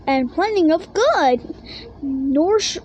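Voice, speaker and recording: Woman, high voice, slow halting read-aloud, children's storybook, occasional stumbles. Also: animated, darkish tone, lo-fi sound, a little hiss or hum. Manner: halting reading with stumbles and misread words. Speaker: storybook reader.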